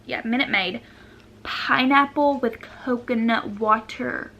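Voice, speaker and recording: A woman's voice: on the high side, slow, sharp tone, slight background noise. A woman, chatting casually and self-deprecatingly as she answers questions in a vlog at home. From Arkansas, United States. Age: 20s. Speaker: vlogger. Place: room at home.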